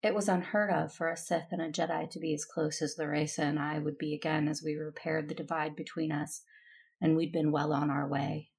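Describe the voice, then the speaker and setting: A woman's voice: low-pitched, flat delivery, a little fast, gravelly, darkish tone. A woman playing a determined, earnest captive Jedi, narrating and speaking in an audio drama. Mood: grim, reflective. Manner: introspective, earnest first-person narrating.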